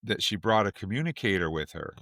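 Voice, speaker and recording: A man hosts a podcast discussion in a conversational style. His voice is a little on the low side. The recording is close and dry, without echo. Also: animated, a little fast, fairly bright tone. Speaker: podcast host. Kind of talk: casual discussion.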